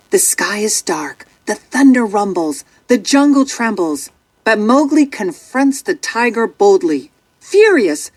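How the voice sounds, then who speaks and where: Woman reading a kids story, very lively up n down delivery, dry close sound, dramatic storyteller. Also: mid-range voice, no room sound. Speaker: storybook narrator. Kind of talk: scripted children's story narration.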